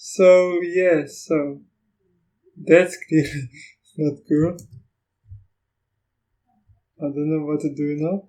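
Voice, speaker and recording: A man whose first language is Afrikaans gives a casual walkthrough of software while recording his screen, thinking aloud in halting phrases. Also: on the high side, fairly even intonation. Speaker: Linux software reviewer.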